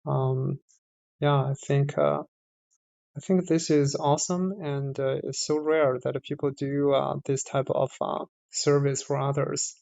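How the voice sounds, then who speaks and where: A man who has just joined the room speaks hesitantly, pausing often to fill gaps. His voice is gravelly and slow, with a flat pitch line. The sound is dry. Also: no echo. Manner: hesitant, many fillers. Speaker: new member, listener. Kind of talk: casual chat.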